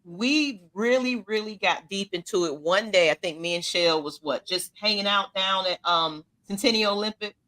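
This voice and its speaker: A woman's voice: moderate pace, hardly any pauses, pushed and bright. A woman, co-hosting a casual fan chat and laughing often. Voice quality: low-toned voice. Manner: laughs often, loose and chatty. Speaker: podcast co-host.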